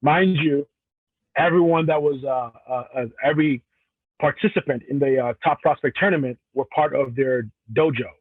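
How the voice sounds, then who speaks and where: A man from Cameroon talks about his wrestling career in a loose, rambling way, often correcting himself mid-sentence. His voice sits at an ordinary pitch for a man. The sound is thin and phone-like, with no room echo at all. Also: moderate pace. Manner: rambling, many restarts and self-corrections. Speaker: professional wrestler, guest. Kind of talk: casual interview answers.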